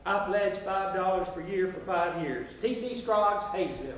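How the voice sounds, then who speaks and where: A man's voice: high voice, lively intonation, normal speed. A man playing sincere rural farmers in a stage play, reciting in a formal, declarative way. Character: sincere rural farmers pledging labor and land. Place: stage performance at a festival. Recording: echoey hall, distant mic.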